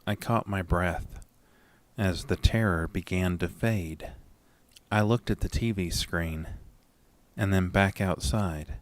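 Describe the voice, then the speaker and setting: A man reading a scripted first-person horror story in a dramatic, deliberate storytelling manner. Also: low-pitched, no room sound. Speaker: narrator and lead of a horror audio story.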